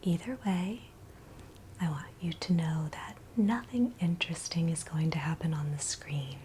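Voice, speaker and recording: A woman leads an ASMR guided meditation in a soft, breathy whisper, speaking with gentle, soothing reassurance. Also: low-pitched, a little slow, slight background noise.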